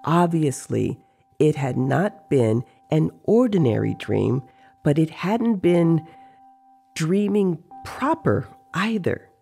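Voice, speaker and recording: A narrator reads a dramatized story at an unhurried pace, pausing deliberately between phrases. The voice is mid-pitched with a noticeable gravelly creak. Music plays clearly underneath. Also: big pitch swings, mellow.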